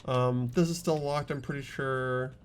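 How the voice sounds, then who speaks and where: A man's voice: mid-range voice, fairly even intonation, a little slow, over loud music. A man hosting a video game playthrough, commenting in an informal, chatty way. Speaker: playthrough host and voice actor. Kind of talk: casual gameplay commentary with dialogue reading.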